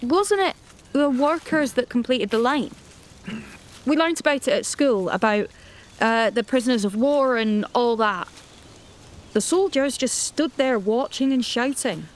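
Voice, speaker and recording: An actress playing an outspoken teenage great-granddaughter in an audio drama, with casual, blunt, questioning speech. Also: female voice, on the high side, a little slow, a little hiss or hum, close to an everyday voice. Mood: puzzled, challenging. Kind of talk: naturalistic audio drama acting.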